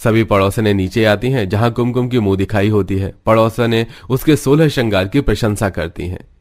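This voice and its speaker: Low-pitched man narrating a cartoon story. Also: moderate pace, darkish tone.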